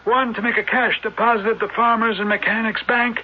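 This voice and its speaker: An actor playing a stern, wealthy old uncle with a husky voice in a 1970s radio drama. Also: male voice, high voice, level tone, fairly quick. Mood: stern, resolute. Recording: muffled old radio broadcast.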